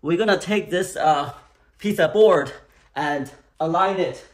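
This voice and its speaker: Man, slightly high voice, unhurried how-to tutorial delivery, recorded in a garage. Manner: slow, methodical, explaining each step. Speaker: DIY instructor. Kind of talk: step-by-step how-to narration. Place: home garage.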